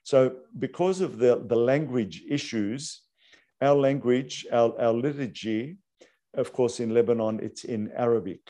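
A priest, explaining unscripted with hesitant fillers and restarts. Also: male voice, mid-range voice, a little slow, dark tone. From Australia.

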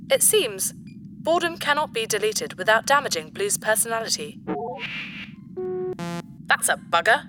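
A woman's voice: on the high side, noisy background. A woman playing a childlike, mischievous sentient spaceship computer in a sci-fi audio drama, sing-song, slipping into clipped computer announcements. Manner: speaks of herself in third person, sing-song, switching to clipped computer announcements. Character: childlike, mischievous female ship computer that has become sentient.

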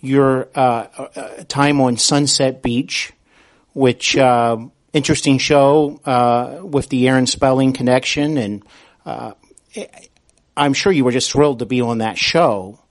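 A man's voice: mid-range voice, steady tone, slow. A man interviewing a guest as podcast host, conversational and reflective, with hesitant phrasing.

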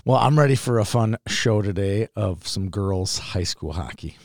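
Low-voiced Minnesotan man chatting casually. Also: lively intonation, normal speed, darkish tone, dry recording, clean studio sound. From Minnesota, United States. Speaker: sports podcast co-host. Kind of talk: casual chat.

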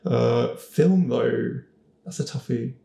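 Creaky-voiced man chatting in an echoey room. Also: mid-range voice, slow, warm tone. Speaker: podcast panellist, voice actor. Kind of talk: casual chat. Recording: roomy, echoey voice pickup.

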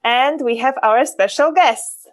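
Woman podcast host, fairly high voice, eager, slightly non-native phrasing. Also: unhurried. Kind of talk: casual podcast chat.

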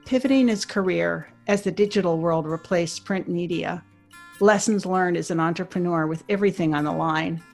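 A woman's voice: fairly low pitch, vocal fry, darkish tone. A woman hosting an interview podcast, moving between scripted introduction and conversational questions.

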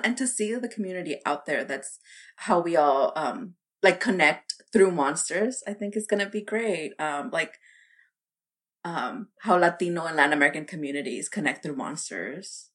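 Lower-voiced joking woman co-host. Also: normal speed. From South Texas. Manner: relaxed, joking, laughs often. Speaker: podcast co-host. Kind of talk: casual podcast chat.

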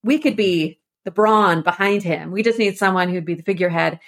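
A woman with a slightly low voice talks as an interview guest. She explains a literary work conversationally, thinking things through and showing real enthusiasm for the subject. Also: a little fast. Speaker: interview guest, author and editor. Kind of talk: conversational literary discussion on a podcast.

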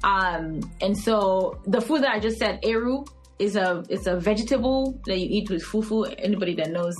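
A woman's voice: medium pitch, normal speed, soft background music. A woman in her thirties from Cameroon with a Cameroonian English accent, chatting expressively as a podcast guest with frequent fillers. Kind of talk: podcast interview, casual chat.

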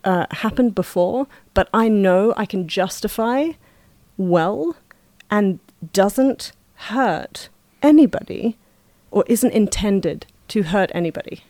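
A woman's voice: medium pitch, animated, slow pace, warm tone, no echo. A woman talking as a podcast guest in casual conversation, reflective and explaining her views at length. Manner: reflective, talks at length in long flowing explanations. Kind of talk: casual chat.

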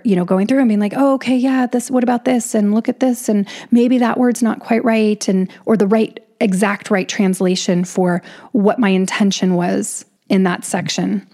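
A woman's voice: medium pitch, a little mellow. Woman podcaster talking casually. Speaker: podcast host and author. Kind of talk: casual solo podcast monologue.

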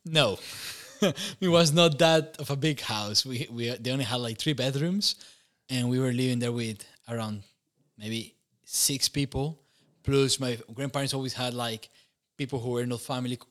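Young Costa Rican man, Spanish accent, podcast guest, easygoing, quick to laugh. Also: medium pitch. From Costa Rica. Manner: warm, laughs often, restarts phrases. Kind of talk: conversational interview.

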